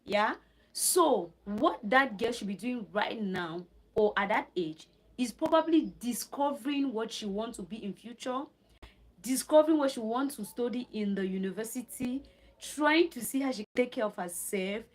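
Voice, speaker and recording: A woman's voice: a little high, moderate pace, poor audio. A woman from Cameroon hosting a livestream, reading from notes, repeating her phrases and stopping to answer viewers' questions. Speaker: livestream host. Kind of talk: live stream talk reading notes and chatting with viewers.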